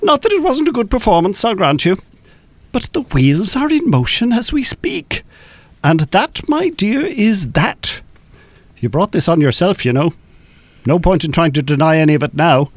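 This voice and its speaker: A controlling, abusive husband in a radio drama. His voice sits fairly high for a man, in a fairly dry sound. He taunts and bullies with sardonic, sneering menace. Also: big pitch swings, normal speed, no room sound, full character voice. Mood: sneering, taunting. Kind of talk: live radio play acting.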